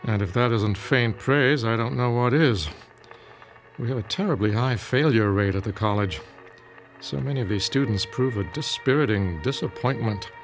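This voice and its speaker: An actor playing a weary, dignified elderly medical dean in a radio drama. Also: male voice, medium pitch, moderate pace, soft background music, close to an everyday voice. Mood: weary fond.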